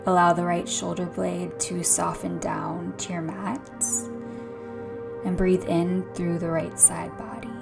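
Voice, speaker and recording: Slow, hushed woman guiding yoga. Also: lowish voice, little pitch movement, creaky. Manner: soothing, hushed, unhurried. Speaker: yoga and meditation instructor. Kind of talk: guided gentle yoga and meditation. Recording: soft music behind the voice. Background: music.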